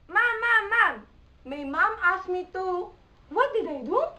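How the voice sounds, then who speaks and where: A woman's voice: very high-pitched, expressive, a little slow, pushed and bright, recorded from a distance, a little hiss or hum, exaggerated voice. A woman voicing a chatty, inquisitive child pupil who interrupts the teacher in a puppet show. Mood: excited, chatty.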